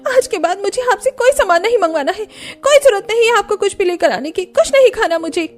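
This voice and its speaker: A woman performs a young Indian wife from a cartoon tale, pitched very high and full of feeling. She is eager and easily wounded, pleading and complaining with heavy emphasis. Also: expressive, a little fast. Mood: angry, wounded. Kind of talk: cartoon story dialogue.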